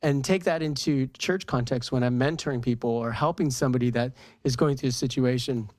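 A woman's voice: very deep voice, a little fast, dry recording, studio quality. A woman chatting candidly as a guest on a faith talk show, telling personal stories and acting out what people said. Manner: animated retelling with acted-out quotes. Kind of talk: candid personal storytelling in casual chat.

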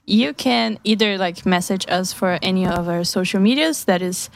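A woman from Brazil co-hosting a casual radio show in a studio, bubbly and quick to laugh. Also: medium pitch, vocal fry, no room sound. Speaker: radio co-host.

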